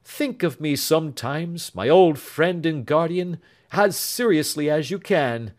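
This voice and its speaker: A man's voice: a little high, expressive, a little slow, darkish tone, pitched above the performer's own voice. An older-sounding male reader voicing an earnest, affectionate young man, speaking eagerly and with feeling. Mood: fervent.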